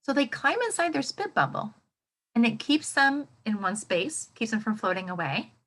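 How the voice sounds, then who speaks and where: Animated, high-pitched woman teacher reading aloud. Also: normal speed. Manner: expressive, thinking aloud, asking questions of listeners. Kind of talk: interactive children's nonfiction read-aloud lesson.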